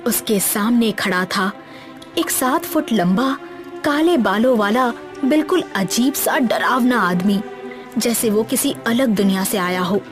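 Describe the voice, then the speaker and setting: A woman's voice: a little high, expressive, measured pace. A woman reading a scripted, dramatic story as its narrator. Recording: music bed behind the voice.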